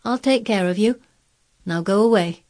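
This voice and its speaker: Female narrator as sickly manor boy, storybook, imperious, demanding, raised pitch, husky. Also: normal speed, a little mellow, close to an everyday voice. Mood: grandly imperious. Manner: grand, demanding, commanding. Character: imperious, spoiled, sickly ten-year-old boy of a manor. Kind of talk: acted storybook dialogue.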